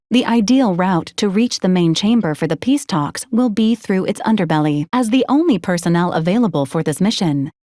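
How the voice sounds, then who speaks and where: A dutiful female combat android from a video game, played by a woman. She speaks in a formal, clinical deadpan at a middling pitch, coldly polite even when she is being bluntly violent. The sound is close and dry, with no room around it. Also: no room sound, lightly acted. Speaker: robotic companion to a commander. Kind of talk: scripted video game character lines.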